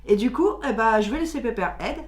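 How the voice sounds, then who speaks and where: Chatty woman with higher voice. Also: expressive, fast. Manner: chatty, explains patiently with filler words. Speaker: co-host of a theme-park channel. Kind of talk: casual explainer chat. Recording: echoey room.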